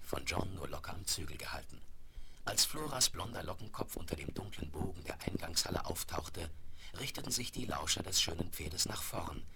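A man as a calm, gentle narrator with a gravelly voice, reading scripted storytelling for a 1970s German radio play. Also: low voice. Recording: crackly 1970s vinyl rip with clicks.

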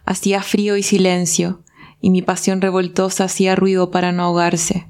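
Calm, level-voiced Chilean woman. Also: lowish voice. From southern Chile. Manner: thoughtful, unhurried, with pauses. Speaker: writing workshop participant. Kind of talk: reflective talk and poetry reading.

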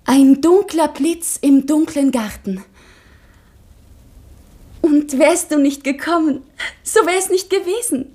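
A woman's voice: sits high, darkish tone. An actress playing an anxious, earnest young convent schoolgirl, confiding intensely in her mother in a 1960s radio drama. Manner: intense, confiding, halting with emotion.

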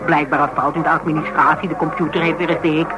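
An actress playing a sharp, scheming insurance investigator in a radio drama, teasing and talkative. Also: female voice, sits low, steady tone, quick pace, over music, natural voice, poor audio. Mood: sly, persuasive.

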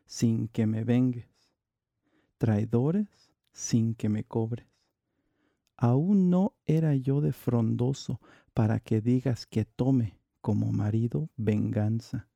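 A man recites a dramatic monologue in classical verse. His voice is very dark and soft-edged, with a gravelly creak and an unhurried pace. The sound is close and dry. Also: mid-range voice, dry recording. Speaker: monologue reader.

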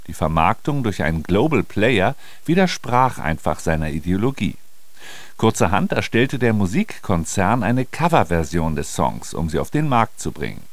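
A man's voice: fairly low pitch, no echo. A male radio host reading a scripted introduction to a programme.

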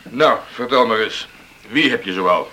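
Gruff stubborn boat skipper in a radio drama, real sharp edgy voice, very animated. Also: male voice, fairly low pitch, a little slow. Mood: surprised, gruff. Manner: gruff, blunt, forceful. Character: gruff, stubborn lifeboat skipper, grudgingly good-hearted. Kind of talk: Dutch radio drama acting.

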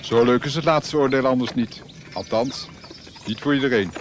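An actor playing a guarded middle-aged monk in a radio play, speaking in terse, measured, insinuating lines. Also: male voice, mid-range voice, close to an everyday voice. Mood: guarded, sly. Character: guarded, cryptic monk of about forty, quietly menacing. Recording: noisy old radio drama, outdoor effects.

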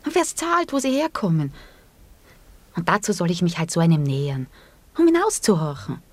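Radio play: proud young comrade, indignant, lowish husky voice, defensive delivery. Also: female voice, sing-song, normal speed, no room sound. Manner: reproachful, defensive. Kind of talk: radio drama acting.

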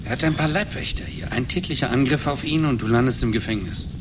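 A middle-aged man of brooding, philosophical temper, played by a male actor in a 1980s radio drama. His mid-pitched voice is earnest and reflective. There is clear noise on the old recording. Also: fast talker, natural voice. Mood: cautious, urgent.

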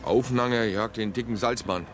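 An actor playing a lovestruck, gruff and awkward investigator who mutters with resignation in a radio drama. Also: male voice, lowish voice, moderate pace, music in the background, close to an everyday voice. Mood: wistful, resigned.

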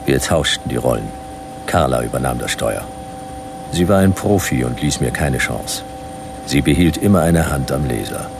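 Very deep, gravelly detective narrator. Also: male voice, moderate pace, noisy background, full character voice. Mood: grim, dry. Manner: deadpan, clipped, noir-like. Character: laconic male private detective narrating his adventure. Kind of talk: dramatic radio play narration.

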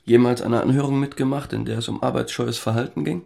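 A man's voice: mid-range voice, steady tone, fast, vocal fry, warm tone. An actor in a radio drama playing a bitter, cynical East German man, condescending and blunt as he goads his naive brother. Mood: cynical, instructing.

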